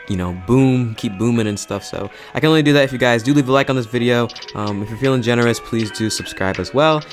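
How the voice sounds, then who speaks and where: A young man hosting a casual video review in an enthusiastic, slangy, emphatic way. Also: lowish voice. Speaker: YouTube host and film reviewer.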